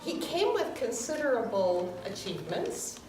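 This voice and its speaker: A woman giving a speech of introduction for a colleague, hesitant and pausing often. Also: on the high side, very animated. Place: room at a public event. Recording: echoey room, distant mic, music.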